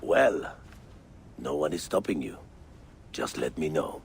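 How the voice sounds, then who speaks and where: An actor playing a genial Ottoman navy navigator who sells bombs in a video game, speaking wryly and deliberately with a Turkish accent. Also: very deep voice, lively intonation, gravelly, exaggerated voice. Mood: businesslike. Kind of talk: video game cutscene acting.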